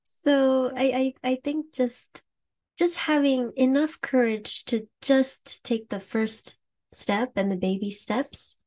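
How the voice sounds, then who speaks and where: Young woman, American accent, soft-spoken, slightly high voice, laughs often. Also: fairly even intonation, slow pace. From Japan. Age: young adult. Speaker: singer guest. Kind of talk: casual interview answers.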